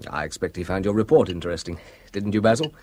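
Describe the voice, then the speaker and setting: A man's voice: sits low, expressive, fast, darkish tone. An actor playing a dry, probing young man in a radio drama. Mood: wry. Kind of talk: 1970s radio drama acting. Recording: old radio broadcast with faint hiss.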